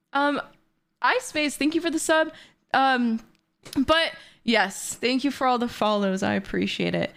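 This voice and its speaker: A woman in her twenties chatting excitedly and bubbly on a livestream from her bedroom. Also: sits high. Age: 20s. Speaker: streamer. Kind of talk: casual livestream chat.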